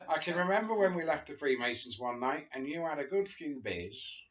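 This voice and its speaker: A man's voice: a little high, lively intonation, moderate pace, fairly bright tone, highs cut off. An older man reading a friend's memoir aloud at home, chatty, with chuckling asides between passages. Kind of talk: casual book reading to camera. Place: living room sofa, indoors.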